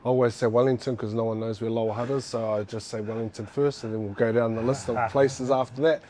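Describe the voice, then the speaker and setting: A man's voice: medium pitch, steady tone, quick pace, a little mellow. A man in his forties with a New Zealand accent, telling his story in a casual interview, rambling with fillers and restarts. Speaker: guest, former fighter.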